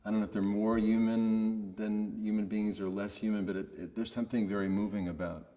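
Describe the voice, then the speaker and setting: Man, low voice, monotone delivery, hesitant and understated, casual interview talk, long reverb. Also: brisk. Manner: hesitant, searching for words, understated. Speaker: voice actor being interviewed.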